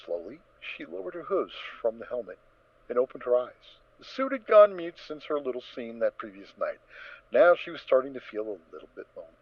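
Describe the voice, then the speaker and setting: A man's voice: medium pitch, big pitch swings, a little fast, no echo, dull audio. A man narrating a scripted story in a dramatic reading style.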